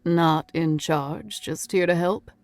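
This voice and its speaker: A woman's voice: lowish voice, steady tone, takes it slowly, darkish tone, dry recording, soft background music. A woman voicing a guarded male American federal agent in an audiobook, with a dry, laconic manner. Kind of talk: audiobook character voice.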